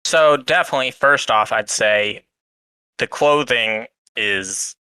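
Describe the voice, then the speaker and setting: Casual male podcast guest, very bright crisp voice, close and dry, hedges and rambles through explanations. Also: medium pitch, slow, dry recording. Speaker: guest reviewer on a fan podcast. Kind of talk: casual discussion.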